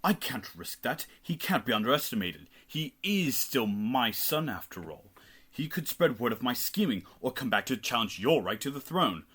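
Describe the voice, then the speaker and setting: A man's voice: mid-range voice, sing-song, moderate pace, a bit bright, husky. A young man in a student radio play voicing a cruel, scheming king who talks in a haughty, sneering way. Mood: plotting. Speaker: student actor. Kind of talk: amateur radio play acting.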